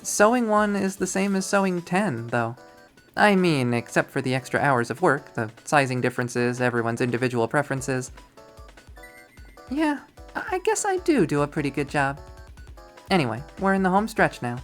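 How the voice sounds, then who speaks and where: A performer voicing a bubbly but touchy young woman in a visual novel, with an animated, emphatic, girlish manner. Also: medium pitch, normal speed, soft background music, natural voice. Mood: bright, chatty.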